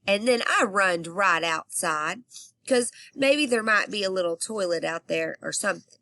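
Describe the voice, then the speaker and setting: A woman's voice: mid-range voice, expressive, moderate pace, sharp tone, dry recording. A woman teacher reading a children's book aloud expressively and asking her young listeners questions.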